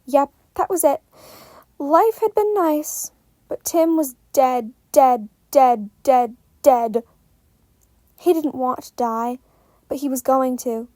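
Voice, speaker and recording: A woman reading fan fiction aloud as an expressive story narrator. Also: sits high, slow pace, no echo, studio quality.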